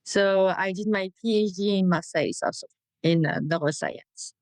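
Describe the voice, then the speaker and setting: A woman researcher with a French accent answers interview questions in a plain, unhurried way, pausing now and then on small fillers. Her voice sits at a middle pitch and comes through close and dry. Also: no room sound. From France. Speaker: guest researcher.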